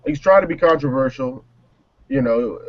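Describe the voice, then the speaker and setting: A man in a casual panel discussion, talking in a measured, reflective way. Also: mid-range voice, lively intonation, highs cut off, a little hiss or hum. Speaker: panelist on a music talk show.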